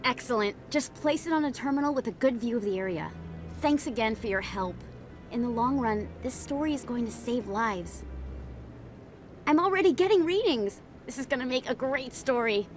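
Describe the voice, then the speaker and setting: A woman playing a driven, keen investigative reporter in a video game, brisk and persuasive. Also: on the high side, lively intonation, faint music behind, lightly acted. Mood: keen, grateful.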